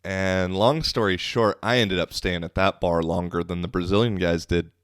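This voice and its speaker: Young male podcast host, low-voiced and dry-miked, loose profane storyteller. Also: fairly even intonation, normal speed, smooth, dry recording. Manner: loose, profane, rambling with many fillers. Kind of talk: casual storytelling monologue.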